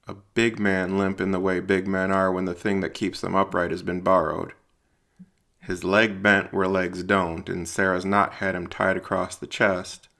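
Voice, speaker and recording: Low, gravelly male audio drama narrator. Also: a bit bright. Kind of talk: scripted dramatic fiction narration.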